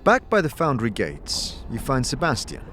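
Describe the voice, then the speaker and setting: A man's voice: mid-range voice, huge pitch swings, normal speed, a bit bright, soft background music. A man narrating a scripted fantasy audio drama in the second person, with a theatrical, vividly descriptive delivery. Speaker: game master and narrator.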